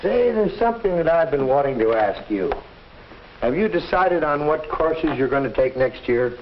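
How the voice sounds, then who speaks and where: A man's voice: fairly high pitch, big pitch swings, moderate pace. A middle-aged actor playing a probing, advising father in a 1950s instructional film. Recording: muffled old film soundtrack, faint noise.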